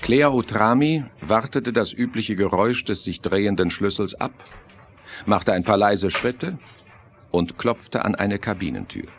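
Low-pitched male radio play narrator. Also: measured pace, noisy background.

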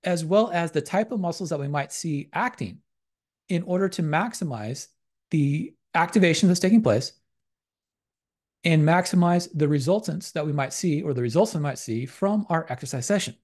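A man's voice: fairly high pitch, animated, moderate pace, darkish tone. A man giving a methodical, academic slide lecture on exercise science, restating terms as he goes. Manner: methodical, repetitive academic phrasing.

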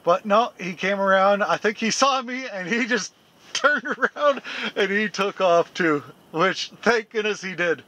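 A man in his forties with a fairly high, bright voice talks slowly and easily to his camera during a winter camp. He chuckles often and rambles with lots of fillers, speaking close to the lens, first outdoors in the snow and then inside a small tent. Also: expressive, dull audio. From Canada. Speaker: camping vlogger. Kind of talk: casual vlog chat to camera. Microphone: handheld camera close up, then fixed camera a few feet away.

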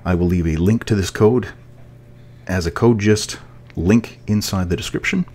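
A man's voice: sits low, moderate pace, darkish tone. A man giving a casual, step-by-step tutorial narration as a programming instructor, explaining as he goes.

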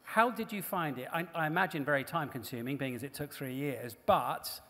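Male live-event host, fairly high voice, easy chatty questioning, reverberant hall. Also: a little fast, a bit bright. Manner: chatty, friendly questioning with fillers. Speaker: stage host. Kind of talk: live panel interview. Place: large hall on stage before an audience.

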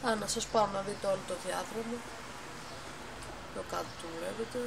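Μια γυναίκα ξεναγεί χαλαρά σε μια κατασκευή παιχνιδιού, μιλά διστακτικά, διορθώνει τον εαυτό της και εξηγεί βήμα βήμα. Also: female voice, mid-range voice, steady tone, gravelly. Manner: halting, self-correcting, explains step by step. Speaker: game builder giving a tour. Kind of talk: casual tour of a game build. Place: dim room at home, by a computer. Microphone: phone camera filming the monitor. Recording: noisy home phone recording.

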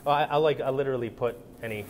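A man's voice: medium pitch, very fast. A man in his thirties with an American accent, a chef casually explaining dishes in informal, hesitant phrasing. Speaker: chef and restaurant owner. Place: restaurant bar indoors. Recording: noisy room ambience.

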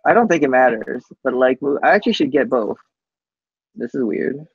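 A man giving a casual live software demo on a team call, speaking haltingly and often tacking "basically" onto sentences. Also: medium pitch, expressive, slightly rough. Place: coworking space, on a group video call. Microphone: video call microphone. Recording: muffled video call audio.

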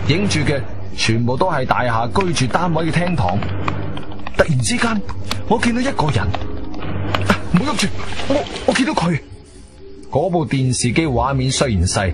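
A male adventurer recounting an eerie experience in a Cantonese radio play from Guangzhou. He narrates deliberately and with suspense, his pitch moving widely. Music is clearly heard behind the story. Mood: amazed. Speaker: narrator and lead of radio drama. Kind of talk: dramatic first-person story narration.

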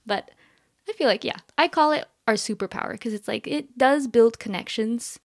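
A young woman from the United States, a podcast guest, telling animated stories with frequent laughs in casual conversation. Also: on the high side, normal speed, no room sound, studio quality.

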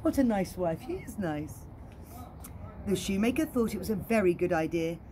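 Female children's entertainer, playful and animated storyteller, softly mellow tone, recorded outdoors. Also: medium pitch, slight background noise. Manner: playful, expressive. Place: outdoor garden.

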